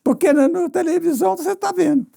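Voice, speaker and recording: A man with a Brazilian accent, explaining and reminiscing casually in a halting, demonstrative way. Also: extremely high pitch, creaky.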